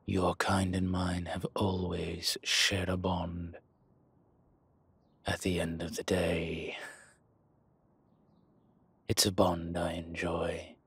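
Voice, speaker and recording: A very husky, rough-voiced dragon crime lord, acted out by a man, speaks slowly and deep, close and dry. He is suave and arrogant, savoring his words with deliberate pauses in an intimate audio roleplay. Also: monotone, a bit bright, no echo, exaggerated voice. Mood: persuasive, intimate. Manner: slow, deliberate, pausing, smooth-talking. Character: suave, arrogant male dragon mob boss, older, fond of luxury. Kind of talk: intimate scripted roleplay audio, one-sided conversation.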